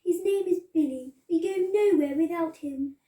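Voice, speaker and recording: A proud lady giraffe from a storybook as a woman acts her out, self-assured and prim. Her voice is very high but soft-edged, heard in an echoey room. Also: moderate pace. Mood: brisk, confident. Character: proud, haughty, helpful female giraffe.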